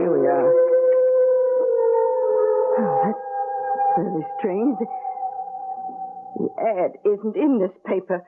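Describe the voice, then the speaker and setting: An actress playing a fussy, superstitious, doting middle-aged aunt in an old-time radio drama, on a tinny recording. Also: female voice, on the low side. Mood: muttering, puzzled.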